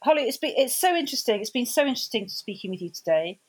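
A woman interviewing a guest, encouraging and affirming, with frequent warm praise. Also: on the high side, expressive, fast talker, slightly rough. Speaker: interviewer, host. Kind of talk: supportive interview.

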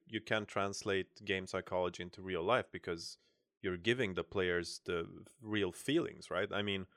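A man hosting a casual podcast, relaxed and thinking aloud with many fillers. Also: sits low, creaky, no echo, clean studio sound. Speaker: podcast host.

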